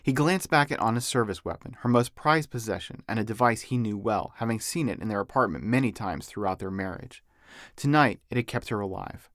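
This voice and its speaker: Guy reading his own novel as an audiobook, lowish pitch, dry close recording. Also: expressive, a little fast, a bit bright, no room sound. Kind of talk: scripted audiobook narration.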